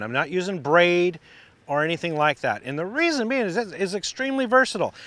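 A middle-aged man giving a relaxed, practical fishing lesson on a lakeshore, recorded on a lapel mic. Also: a little high, no echo. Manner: relaxed, practical explaining with restarts. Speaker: fishing instructor. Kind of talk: outdoor how-to demonstration. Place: outdoors on a lakeshore.

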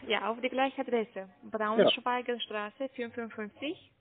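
A woman's voice: fairly high pitch, steady tone, measured pace. A woman call center agent making a sales phone call in German as a non-native speaker. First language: not German. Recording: muffled narrow phone line.

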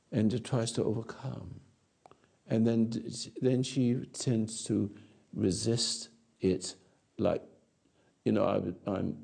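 A man in his eighties giving a rambling philosophical talk to an audience on a lapel mic, halting and repeating his words. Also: medium pitch, vocal fry, darkish tone, breathy edge. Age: 80s. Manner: gestures with hands while talking. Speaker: speaker answering audience. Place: dark stage or studio.

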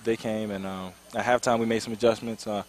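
A man's voice: fairly low pitch, flat delivery, a little fast, slight background noise. A young man in his twenties, a college basketball player on a headset mic at a courtside table in an arena, talking after a game with frequent ums. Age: 20s. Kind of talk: postgame interview.

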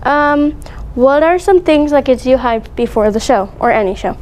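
A woman's voice: sits high, lively intonation, normal speed, a little mellow. A woman speaking casually as a vlog host and interviewer. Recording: faint background noise.

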